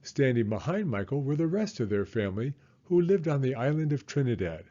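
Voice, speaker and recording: Mellow, lively male children's storyteller. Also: medium pitch, fairly quick, lo-fi sound. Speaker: storyteller reading children's books aloud. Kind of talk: animated read-aloud narration for kids.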